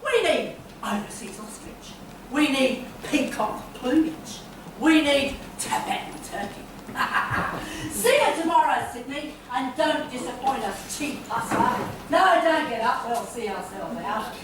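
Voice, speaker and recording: A woman's voice: high voice, expressive, slow pace, noisy background. A woman playing a haughty, domineering wealthy mother who speaks in a grand, mocking way. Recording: echoey room from far off.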